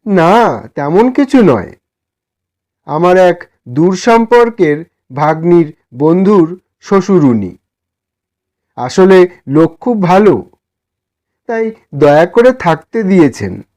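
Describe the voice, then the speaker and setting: A man voicing a timid, poor, kind gentleman in a storybook, speaking politely and pleadingly. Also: sits high, big pitch swings, slow pace, pauses often, voice pushed up, exaggerated voice. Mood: humble. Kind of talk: dramatised storybook dialogue.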